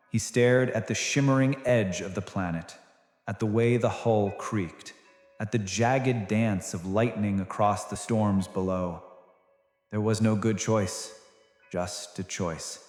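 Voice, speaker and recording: A man's voice: on the low side, a little slow, a little mellow, reverberant, big-room sound. A man reading scripted, dramatic science fiction narration as the narrator of an audio drama. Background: music.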